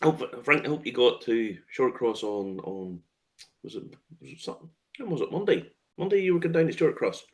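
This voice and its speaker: A man hosting a casual chat about whiskey tastings, relaxed, laughing often and swearing casually as he restarts his sentences. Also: medium pitch, normal speed. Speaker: host and whiskey tour guide.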